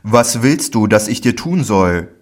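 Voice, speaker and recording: A grave, authoritative teacher speaking in a low, measured voice, as the male reader gives him voice. His words come steady and solemn, never hurried, in a storybook reading of scripture.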